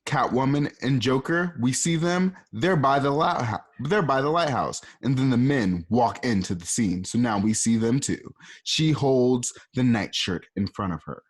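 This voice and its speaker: A man's voice: medium pitch, expressive, measured pace, slightly rough. A man narrating scenes of an amateur radio play in a loose, chatty way. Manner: loose, chatty, repeats himself.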